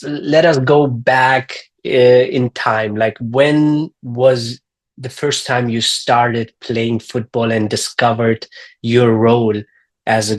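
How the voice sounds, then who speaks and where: A man hosting a podcast, asking curious, casual questions with frequent fillers. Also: mid-range voice. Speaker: podcast host. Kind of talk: interview questions.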